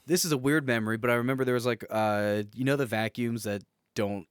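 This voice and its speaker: A man's voice: mid-range voice, steady tone, fairly quick, clear, resonant tone, dry recording, clean studio sound. A man co-hosting a casual podcast, reminiscing in a rambling, anecdotal way. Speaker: podcast co-host.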